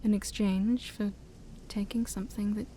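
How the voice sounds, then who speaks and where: A woman playing an ancient demon princess, haughty yet shy, in a soft-spoken ASMR roleplay that often drops to a whisper. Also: mid-range voice, fairly even intonation, moderate pace, slight background noise, lightly acted. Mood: defensive, persuasive. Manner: formal, teasing, hesitant, sighing.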